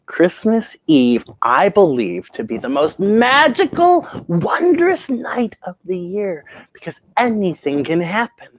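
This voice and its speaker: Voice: mid-range voice, wildly swooping pitch, slow, few pauses, muffled recording, a little hiss or hum. A children's show host talking to young viewers in a theatrical, emphatic storytelling way, drawing out words.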